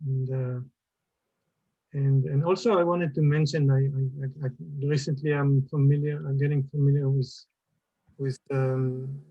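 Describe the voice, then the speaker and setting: A man's voice: mid-range voice, flat delivery, unhurried, muffled recording. A man with an Israeli accent sharing his personal story on a panel, hesitant and searching for words.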